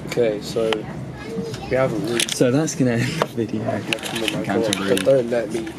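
A man's voice: mid-range voice, fairly even intonation, brisk, heavy background noise. A young man from England, casually signing off a vlog into a handheld camera held close to his face, in a small room at night. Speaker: vlogger.

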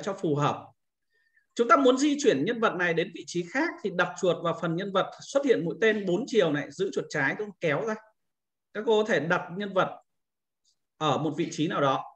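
Man giving an informal software tutorial. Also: high-pitched. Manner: explanatory, with frequent confirming tags. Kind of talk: informal step-by-step screen-share tutorial narration.

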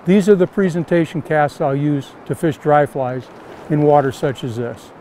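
Older male instructor with a high voice, outdoors in a river on a lapel mic, faint background hum, repeating simple casting steps. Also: normal speed, mellow. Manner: plain, repetitive step-by-step instruction. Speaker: fly fishing instructor.

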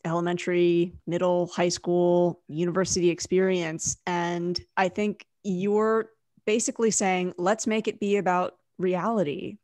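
A woman in her forties with a slightly low voice co-hosts an online discussion. She talks in a measured, reflective way, recorded close and dry without room sound. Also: little pitch movement, a little mellow, no echo. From New Jersey, United States. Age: 40s. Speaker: co-host.

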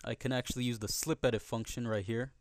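A man narrating a software tutorial casually and conversationally, often checking in with the listener, recorded as a close voice-over. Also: medium pitch, steady tone, fast talker, no echo. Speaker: tutorial host.

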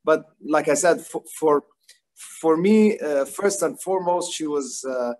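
A man's voice: on the high side, measured pace, a little mellow. A man in his forties giving an informal personal remembrance on a video call, with hesitant, thoughtful phrasing. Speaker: guest, grandson giving remembrance. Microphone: video call device microphone.

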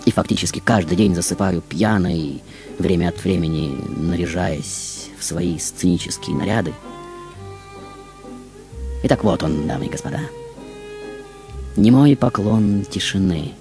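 An aged, theatrical film star, acted by a man addresses the audience in a stage monologue. The voice is low-pitched and the delivery deliberate and declamatory. Faint music plays behind the words. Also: expressive. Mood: melancholy, theatrical, then grandly ironic. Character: aged, reclusive, theatrical female film star looking back.

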